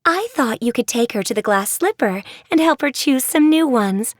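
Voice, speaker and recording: A woman voicing a kind, sensible teenage girl who speaks gently. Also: on the high side, expressive, normal speed, dry recording, clean studio sound, pitched above the performer's own voice, natural voice. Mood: gentle. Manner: gentle and explanatory.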